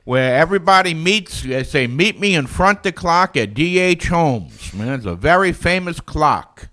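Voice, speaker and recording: A man's voice: a little high, expressive, normal speed, bright, forceful tone. A man voicing an easygoing male talking clock with a New Orleans accent, drawling and ending lines with "man". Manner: laid-back, drawling, ends lines with "man".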